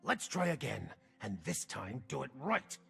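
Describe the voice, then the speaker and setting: A gruff old archmage from a video game, weary yet determined. The voice is forceful and gravelly, heavily rasped, with a terse, dry wit. Music plays underneath. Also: male voice, medium pitch, big pitch swings, a little slow, heavily acted. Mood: strained, weary. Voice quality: raspy, gravelly. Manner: terse, dry-witted, dramatic.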